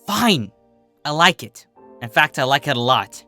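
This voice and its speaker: Man voicing a brash, bright-voiced young athlete mare. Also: on the high side, very animated, a little slow, faint music behind, full character voice. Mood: defensive, huffy. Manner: casual slangy, huffy. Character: brash, defensive, teasing young female athlete pony.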